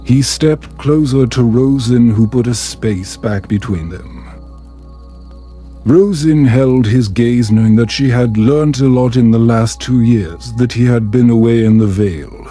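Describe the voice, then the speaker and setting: A man reading a scripted bedtime story in a calming, soothing, measured way. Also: medium pitch, soft background music. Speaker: storyteller, narrator.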